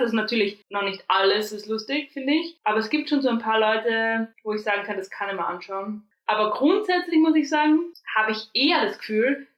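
A woman's voice: mid-range voice, fairly bright tone. Young woman, Austrian accent, chatty podcast talk, echoey room. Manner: chatty, many fillers and restarts. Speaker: podcast co-host. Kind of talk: casual chat.

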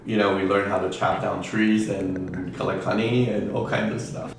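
A man who grew up in Taiwan, a real estate attorney giving halting answers with many ums in a casual interview. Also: on the low side, little pitch movement, gravelly. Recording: distant echoey mic with music behind.

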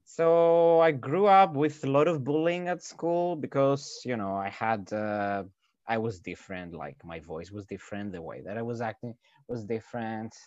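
A man with a Greek accent, a musician guest speaking English as a second language, halting with many fillers and frequent laughs. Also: mid-range voice, darkish tone, dry recording, lo-fi sound. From Greece. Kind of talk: casual interview.

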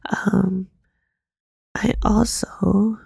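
Hoarse young woman losing her voice. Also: medium pitch, little pitch movement, very slow, warm tone, dry recording. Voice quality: raspy, gravelly. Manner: laid-back, swears casually, laughs often. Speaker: podcast co-host. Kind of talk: casual chat.

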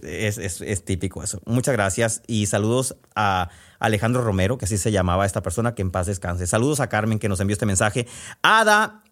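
A man with a Sonoran Mexican accent hosting a podcast, chatting casually in a folksy, halting way with asides as he reads out messages. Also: mid-range voice. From Sonora, northwest Mexico. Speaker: podcast host and storyteller. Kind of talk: casual chat, reading out listener messages.

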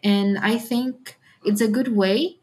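Teenage girl, from Brazil, earnest, measured, guest. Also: mid-range voice, fairly even intonation, warm tone. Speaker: podcast guest. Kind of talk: interview answers.

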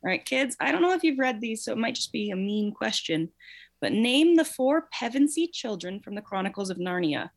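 A woman's voice: a little high, sing-song, fairly quick, vocal fry. A woman hosting a quiz as guest quizmaster in a casual, encouraging way.

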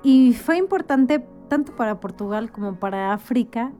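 Mellow, higher-voiced woman explaining conversationally. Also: lively intonation, moderate pace, faint music behind. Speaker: interview guest. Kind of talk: casual explanatory conversation about history.

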